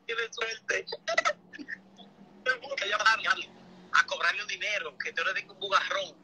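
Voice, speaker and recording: A man's voice: high voice, wildly swooping pitch, unhurried, crowd noise. A man chatting casually over a noisy speakerphone call. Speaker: person receiving a phone call. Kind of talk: casual chat.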